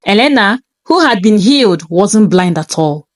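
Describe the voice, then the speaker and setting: A woman's voice: medium pitch, animated, normal speed, no room sound, studio quality. A woman reading a scripted first-person story in a dramatic, suspenseful manner.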